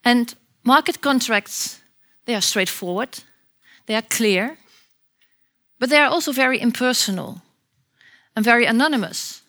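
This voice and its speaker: Fairly high-voiced woman hosting an event. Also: measured pace, pauses often, fairly bright tone. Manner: reads a prepared introduction with small fillers. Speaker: program manager and host. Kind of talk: event introduction.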